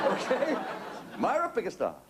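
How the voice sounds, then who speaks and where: A man hosting a television game show, teasing contestants with quick comic one-liners. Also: very high voice. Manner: joking, teasing, punchline-driven. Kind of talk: quick-fire comic patter. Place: television studio. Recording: TV studio with loud audience. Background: audience laughter and studio noise.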